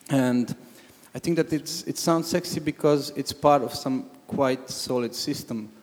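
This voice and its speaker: A man from Croatia, commenting from the audience at a panel discussion, halting with many restarts. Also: medium pitch, darkish tone. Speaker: audience member. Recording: long hall reverb.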